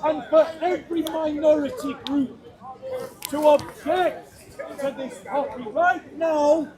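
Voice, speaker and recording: A man's voice: very high voice, steady tone, takes it slowly. A man giving a public soapbox speech outdoors, declaiming with a raised voice. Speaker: street speaker. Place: outdoor park. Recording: crowd noise outdoors.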